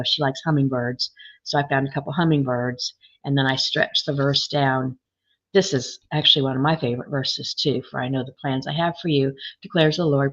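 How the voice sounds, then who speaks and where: A woman with a slightly froggy, hoarse voice, chatting casually as she works on a craft project and reads viewer comments. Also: low-pitched, fairly bright tone. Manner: chatty, thinking aloud while working, reading comments. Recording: dull lo-fi sound.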